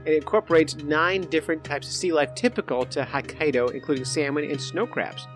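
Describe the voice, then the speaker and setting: A man with a slightly high voice hosts a casual podcast. He talks informally and thinks aloud, with a joking touch as he works through news items. Music is audible with his voice. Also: fairly quick. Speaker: podcast host.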